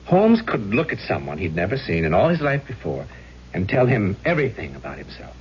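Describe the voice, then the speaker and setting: An actor playing a charming, mysterious middle-aged gentleman with genteel, old-fashioned phrasing in a vintage radio drama. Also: fairly low pitch, huge pitch swings, brisk. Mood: suave, confident. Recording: dull, noisy old radio recording with hum.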